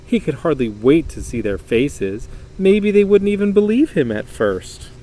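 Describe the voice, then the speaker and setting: A man reading a children's story aloud in an expressive, dramatic, emphatic way. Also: fairly high pitch, a little slow, rough recording. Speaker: storybook reader.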